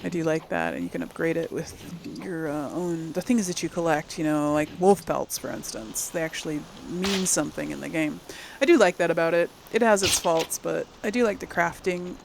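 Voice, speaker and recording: Creaky voiced woman doing chatty let's play commentary, thinking out loud, bit of noise behind. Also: deep voice, moderate pace. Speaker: gaming channel host playing through a game.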